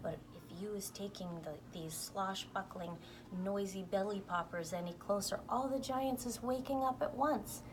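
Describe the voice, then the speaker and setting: A woman's voice: mid-range voice, normal speed, natural voice. Storybook giant played by a female reader: friendly, emphatic, quaint muddled grammar, noisy amateur sound. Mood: cautioning. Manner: emphatic, quirky, ungrammatical phrasing.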